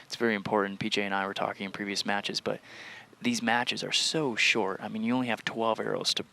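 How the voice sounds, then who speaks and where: A man with a low, gravelly voice and a bright, forceful edge, breaking down technique as a live commentator. Faint noise sits under him. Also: a little fast. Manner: explains technique, relaxed analysis.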